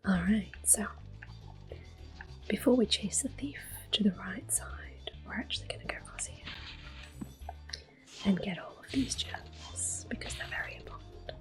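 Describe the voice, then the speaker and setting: A woman hosts an ASMR playthrough in a soft, breathy half-whisper. She gently talks through each move at a slow, unhurried pace. Faint game music plays behind her voice. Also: medium pitch, huge pitch swings, poor audio. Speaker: game streamer, host. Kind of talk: ASMR gameplay commentary.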